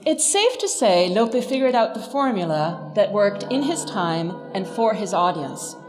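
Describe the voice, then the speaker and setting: A woman's voice: medium pitch, sing-song, measured pace. A middle-aged woman giving a scripted lecture as a professor, articulate, emphatic and wryly humorous. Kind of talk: scripted lecture with dry humour. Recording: long hall reverb, faint music behind.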